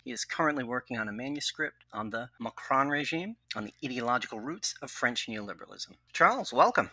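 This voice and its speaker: A man's voice: medium pitch, lively intonation, brisk, pushed and bright, dry recording. A man co-hosting a podcast who reads a formal guest introduction and then chats in a friendly way. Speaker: podcast co-host.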